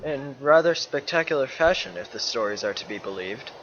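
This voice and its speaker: A man playing a calm, loyal lion-man warrior, speaking in measured, formal phrases in a fan-made fantasy drama. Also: medium pitch, lo-fi sound, faint music behind, natural voice. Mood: measured, gently insistent. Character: calm, loyal male leonin planeswalker, steadfast friend. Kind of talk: dramatic dialogue in a fan-made motion comic.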